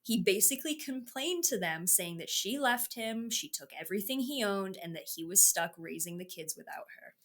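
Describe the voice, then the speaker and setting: A woman's voice: mid-range voice, animated, normal speed, hardly any pauses, fairly bright tone. A woman co-hosting a true-crime podcast in casual conversation, with a wry, sarcastic manner and loose, sweary phrasing. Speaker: podcast co-host.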